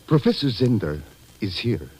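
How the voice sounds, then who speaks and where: An actor playing a grave, courteous government doctor, speaking formally and solemnly in an old radio drama. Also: male voice, lowish voice, big pitch swings, moderate pace, darkish tone, breathy edge. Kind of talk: 1940s radio acting.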